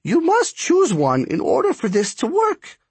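Guy doing a bossy old lightning spirit lady, high husky voice, scolding and swooping all over, audiobook style. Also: wildly swooping pitch, measured pace, no room sound, full character voice. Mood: impatient, scolding. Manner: scolding, commanding, emphatic. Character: bossy, impatient, ancient female lightning-forging spirit, small and skinny. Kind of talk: acted audiobook dialogue.

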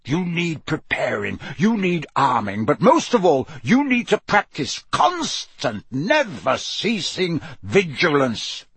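A man voicing a fierce, gruff old male wizard teacher who barks warnings and drills his class. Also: a little high, wildly swooping pitch, takes it slowly, hardly any pauses, a bit bright, no room sound, voice pushed up, heavily acted. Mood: barking stern. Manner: barking, growling, drilling warnings.

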